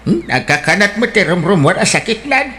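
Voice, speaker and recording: An actor playing a teasing, gift-seeking husband who visits a sick friend in a radio drama. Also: male voice, sits high, sing-song, brisk, pushed and bright, exaggerated voice. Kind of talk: Ilocano radio drama acting.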